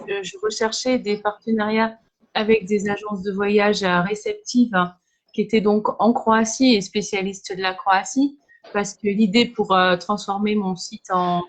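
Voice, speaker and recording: A woman hosting an interview for her travel website, introducing her guest, with frequent filler sounds. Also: medium pitch.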